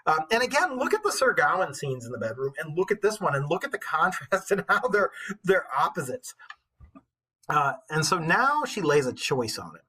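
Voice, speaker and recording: Husky man teaching informally. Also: fairly high pitch, big pitch swings, normal speed, bright, forceful tone. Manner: conversational, anecdotal, slangy. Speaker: high school English teacher. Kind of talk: informal recorded lesson with commentary.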